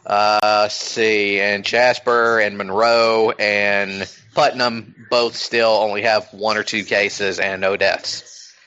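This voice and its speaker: A man's voice: lowish voice, flat delivery, slow, pushed and bright, clean tone. A man hosting a casual podcast chat, rambling with lots of fillers and frequent swearing. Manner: profane, rambling, many fillers. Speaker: podcast host. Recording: dull lo-fi sound.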